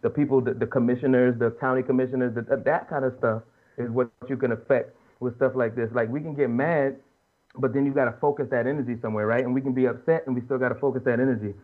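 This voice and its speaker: A man in his late thirties speaks quickly in an earnest, colloquial way, as if arguing a point among fellow panelists. His pitch sits in the middle for a man, and he often rephrases for emphasis. The audio is muffled and lo-fi. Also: fairly even intonation. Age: late 30s. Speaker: podcast panelist. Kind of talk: casual opinionated panel talk.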